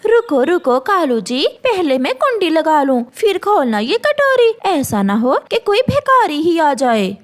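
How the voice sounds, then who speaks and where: A woman's voice: very high voice, big pitch swings, a little slow, few pauses, full character voice. A woman voicing a greedy, suspicious female crow in a children's cartoon, with a nagging manner. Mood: eager, suspicious. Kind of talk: Hindi children's cartoon voice acting.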